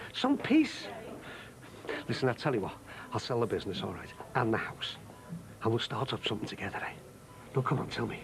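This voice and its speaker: A coaxing lover. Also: male voice, a little high, a little hiss or hum, poor audio. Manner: coaxing, tender, persuasive. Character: middle-aged man Ron, pleading secret lover. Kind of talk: British TV drama acting.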